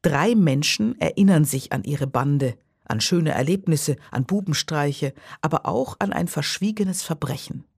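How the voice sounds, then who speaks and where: Husky-voiced radio presenter, close and dry. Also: medium pitch, expressive, measured pace, hardly any pauses, darkish tone, dry recording. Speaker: radio presenter introducing a radio play. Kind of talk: scripted narration, literary introduction.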